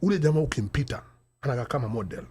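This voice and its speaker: Animated Kenyan man switching languages fast. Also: mid-range voice, breathy edge. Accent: Kenyan, mixing English and Sheng Swahili. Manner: code-switching mid-sentence, emphatic and storytelling, rhetorical questions. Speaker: podcast host. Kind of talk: animated panel discussion.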